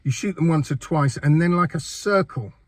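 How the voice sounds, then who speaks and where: A man's voice: fairly high pitch, moderate pace, no room sound. An older man hosting a gaming channel, talking through a casual review while under the weather with a cold, chatty and rambling. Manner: chatty and rambling, with fillers and repeated words. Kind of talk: casual first-impressions game review.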